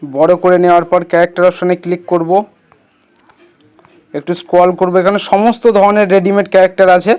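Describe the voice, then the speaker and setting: A man's voice: high-pitched, little pitch movement, brisk, a little mellow, smooth, dull audio. A man hosting a casual step-by-step tutorial, instructing his viewers like friends. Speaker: tutorial host.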